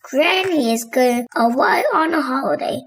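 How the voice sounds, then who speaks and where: A woman's voice: sits high, lively intonation, a little slow, slight background noise. A woman voicing an inquisitive little girl pig in a children's storybook, speaking simply. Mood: explaining.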